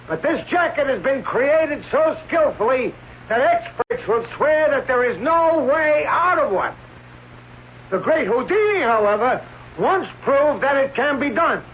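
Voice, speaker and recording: A grandiose old escape artist. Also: male voice, very high-pitched, lively intonation, measured pace. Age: older. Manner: grand, theatrical showman patter. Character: elderly male stage escape artist, boastful showman. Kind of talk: 1940s radio acting. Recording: tinny old radio recording with hiss.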